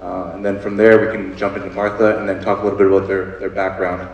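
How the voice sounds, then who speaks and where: Casual low-voiced moderator, echoey theater. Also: male voice, completely flat delivery, fast, far from the mic. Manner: casual, filler-heavy, upbeat. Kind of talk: stage moderation. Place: theater stage before an audience.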